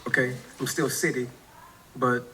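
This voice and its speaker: A man in his forties with a New Orleans accent, talking in a bright, forward voice. He answers a dating show host in a laid-back way, tagging sentences with "right?". Faint ambient noise sits behind him. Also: medium pitch, flat delivery, unhurried, rough recording. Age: 40s.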